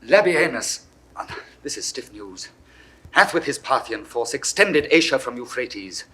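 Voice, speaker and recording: A man's voice: mid-range voice, very animated, unhurried, bright, forceful tone. An actor playing a dutiful male messenger bringing bad news in a 1960s audio drama, reporting carefully in verse. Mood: wary.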